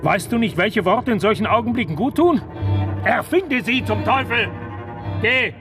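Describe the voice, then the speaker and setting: High male voice, feared castle lord, imperious, tormented, radio drama, music underneath. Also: animated, normal speed. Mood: commanding, impatient. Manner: imperious, barking orders, brooding when alone. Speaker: actor in a radio play. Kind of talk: dramatic radio play acting.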